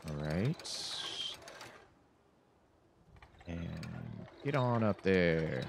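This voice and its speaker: Male streamer in his thirties, low unhurried delivery with swooping intonation, chatting to the game at a desk mic. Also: expressive, creaky, noisy background. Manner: rambling, self-mocking, talks to the game. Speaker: streamer playing a horror game. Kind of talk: casual gameplay commentary. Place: home streaming room.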